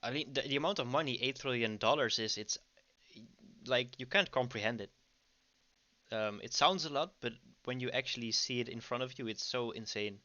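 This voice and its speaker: A man's voice: medium pitch, moderate pace, sharp tone. A man with a Dutch accent, casually teaching trading on a livestream and chatting with viewers, often checking in with "right?". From Netherlands. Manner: chatty, frequent ums and "right?" tags. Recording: dull lo-fi stream audio.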